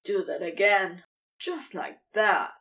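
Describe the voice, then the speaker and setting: A woman plays a smug male snake character in narrated fiction. The voice drops well below the reader's own into a breathy, teasing murmur, a little off the microphone. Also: medium pitch, expressive, slow, a bit bright, far from the mic, pitched below the performer's own voice, full character voice. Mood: pleading delight.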